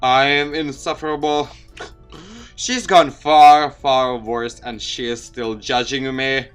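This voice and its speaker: A man's voice: mid-range voice, fairly even intonation, slow pace, clear, resonant tone, faint music behind, close to an everyday voice. A man voicing a lazy, sardonic teenage schoolboy, the dry and sarcastic protagonist of a visual novel game.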